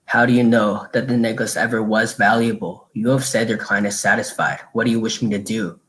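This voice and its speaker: Man playing chief inspector, radio drama, proud, suave, deliberate, condescending. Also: fairly low pitch, steady tone, fairly bright tone, close to an everyday voice, rough recording. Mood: haughty, dismissive.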